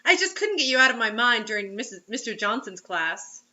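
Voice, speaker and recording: A woman's voice: on the high side, very animated, a little fast, bright, forceful tone, highs cut off. A woman reading a story aloud as host, in a mocking dramatic way, breaking off for sarcastic casual asides.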